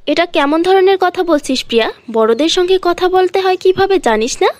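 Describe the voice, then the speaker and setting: Woman as a scornful, high-voiced village aunt. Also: lively intonation, normal speed, no echo. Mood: indignant, scolding. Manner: scolding, dismissive. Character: scornful, practical village aunt, quick to scold. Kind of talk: cartoon fairy tale dubbing.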